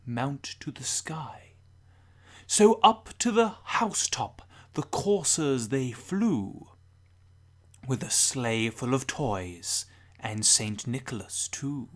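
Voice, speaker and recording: A man reading rhyming verse as a theatrical, expressive storyteller, sometimes dropping to a hushed near-whisper. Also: mid-range voice. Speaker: poetry reader, storyteller. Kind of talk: dramatic storytelling recital of a rhyming Christmas poem.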